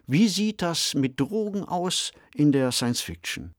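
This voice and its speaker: A man co-hosting a discussion podcast, explaining in a measured, conversational manner. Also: a little high, animated, dry recording, studio quality. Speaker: podcast co-host and novelist. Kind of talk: conversational radio discussion of science fiction.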